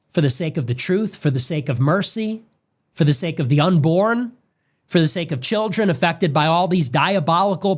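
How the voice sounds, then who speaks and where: Man, slightly high voice, solemn, preacherly cadence, grave monologue, played-back stream. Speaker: political commentator. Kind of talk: solemn monologue on death and faith.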